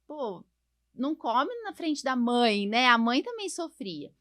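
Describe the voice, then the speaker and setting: A woman's voice: a little high, very animated, slow, fairly bright tone. A Brazilian woman hosting a podcast as a doctor, explaining things colloquially and often checking for agreement. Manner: explanatory, colloquial, frequently seeking agreement with tags. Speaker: podcast host, allergist doctor. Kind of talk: conversational podcast discussion.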